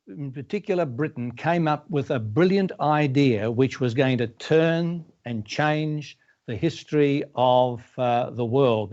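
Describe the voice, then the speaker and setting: A man's voice: medium pitch, darkish tone, muffled recording. A man with an Australian accent, from Melbourne, preaching as a pastor and telling a story, with frequent hesitations. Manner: storytelling, many uh fillers. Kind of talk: sermon.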